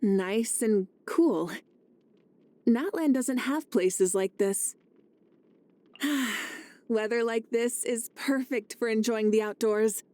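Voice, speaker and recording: A woman voicing a calm, confident fire goddess and leader in a video game, speaking in a measured, assured, gently encouraging way. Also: on the high side, dry recording. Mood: friendly, relaxed. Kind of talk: scripted game character voice lines.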